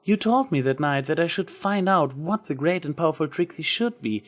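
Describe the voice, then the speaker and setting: Man acting a female unicorn magician, raised pitch, proud, theatrical, tender, storybook character. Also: animated, moderate pace, a bit bright. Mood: warm, frank.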